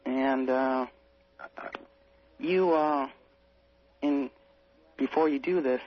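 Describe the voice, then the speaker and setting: A man phoning in to a radio show to ask a question, speaking carefully and haltingly. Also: medium pitch. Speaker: radio phone-in caller. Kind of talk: asking a question on a call-in show. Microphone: telephone. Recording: muffled lo-fi phone call on radio.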